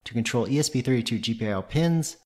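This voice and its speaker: A man's voice: medium pitch, animated, fast talker, darkish tone. A man presenting a tutorial, reading scripted technical narration in a methodical, matter-of-fact way.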